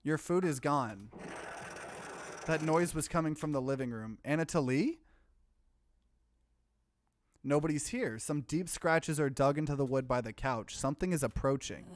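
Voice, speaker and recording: A man doing a let's play, reading game text aloud and breaking in with animated, bewildered reactions. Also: a little high, normal speed, long pauses.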